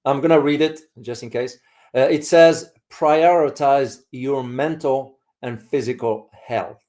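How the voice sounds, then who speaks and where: Male host, from Morocco, casual live discussion style, halting delivery with repeated words, middling pitch. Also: darkish tone.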